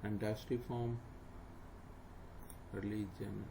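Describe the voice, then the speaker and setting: A man's voice: on the low side, level tone, a little slow, gravelly. A man talks through an online form step by step, plainly and instructively, with frequent fillers. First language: not English. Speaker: tutor. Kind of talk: screen-recorded walkthrough. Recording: noisy home recording.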